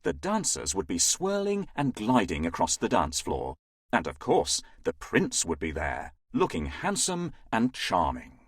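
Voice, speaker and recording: A man narrating a children's fairytale in an expressive, scripted storytelling style. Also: low-pitched, a little slow, husky, no room sound, clean studio sound.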